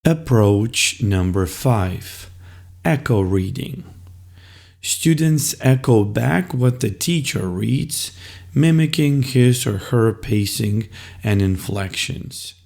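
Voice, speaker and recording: A man teaching, explaining classroom reading activities in a slow, deliberate way. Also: medium pitch, animated, creaky, darkish tone, echoey room. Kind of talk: instructional video talk.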